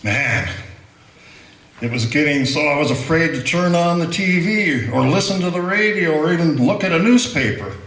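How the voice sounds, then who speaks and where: Man as sleepy rambling spoof-ad pitchman, trailing off, echoey. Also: expressive, normal speed, fairly bright tone. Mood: weary. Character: drowsy, forgetful male pitchman in a spoof ad. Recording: roomy echo.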